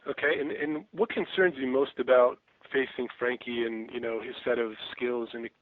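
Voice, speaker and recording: A man's voice: medium pitch, fairly even intonation, moderate pace, no room sound. Male reporter on a phone line. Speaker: sports reporter. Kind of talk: conversational press questioning. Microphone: telephone. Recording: narrow muffled phone conference line.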